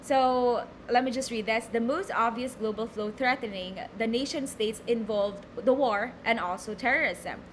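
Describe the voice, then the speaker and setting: Young woman, Filipino accent, teacher, reads slides then explains, halting, repeats words, faint hiss behind. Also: on the high side, rough recording. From Philippines. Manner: hesitant, many repeated words and fillers. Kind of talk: recorded online lecture reading slides.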